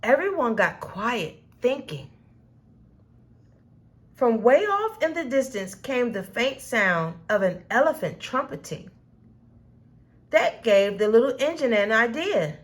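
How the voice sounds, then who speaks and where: Slow, lively woman reading a children's story. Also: a little high. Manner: expressive, deliberate storytelling. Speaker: storybook reader. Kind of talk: children's read-aloud narration.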